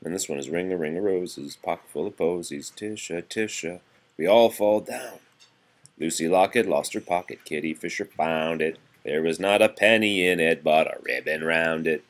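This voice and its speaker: Male reader, low-pitched, parent at storytime: sing-song rhymes broken up by informal asides and explanations for a young child. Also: moderate pace. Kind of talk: read-aloud of nursery rhymes with casual chat to a child.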